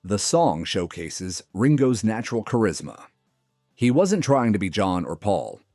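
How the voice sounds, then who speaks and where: Deep-voiced, animated male narrator. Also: unhurried, no echo, clean studio sound, faint music behind. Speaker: narrator of a music countdown video. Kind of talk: scripted narration.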